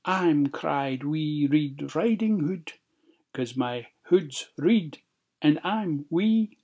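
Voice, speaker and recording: A wee Scottish girl from a fairy tale, acted out by a storyteller. She is innocent, polite and chatty, speaking slowly in a slightly raised voice. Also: medium pitch, animated, darkish tone, slightly rough, dull audio, full character voice. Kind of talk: storybook character voice.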